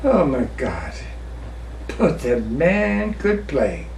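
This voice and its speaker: Guy reciting a ballad real theatrical, slow n swoopy pitch, far from mic w faint noise. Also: creaky, reverberant. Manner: theatrical, drawn-out, expressive storytelling with pauses. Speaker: poetry reciter. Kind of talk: dramatic recitation of a narrative ballad.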